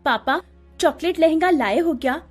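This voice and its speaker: A woman voices a young bride in an animated tale. She is stubborn and highly emotional, pitched high and pushed, with faint music beneath. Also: moderate pace. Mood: demanding, upset. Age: young adult.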